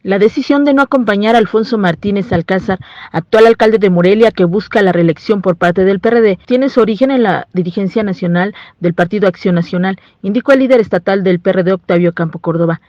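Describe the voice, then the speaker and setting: A woman's voice: medium pitch, brisk, a little mellow, no room sound, muffled recording. A woman with a Mexican accent reading a scripted news report as a reporter.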